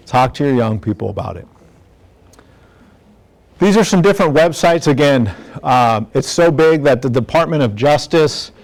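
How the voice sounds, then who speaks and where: A man's voice: mid-range voice, darkish tone. Plainspoken middle-aged man, presenting informally. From National City, San Diego area, United States. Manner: plainspoken, direct, acts out imagined dialogue. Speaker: law enforcement community speaker on gang prevention. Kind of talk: informal community presentation to parents.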